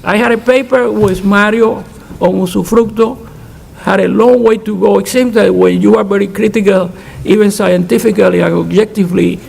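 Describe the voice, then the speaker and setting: Gravelly high-voiced older man, emphatic. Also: fairly even intonation, normal speed, a little mellow. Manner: emphatic, frank, repeats words when restarting. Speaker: conference panelist, economist. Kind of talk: answering audience questions at an academic panel. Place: conference hall. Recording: reverberant hall.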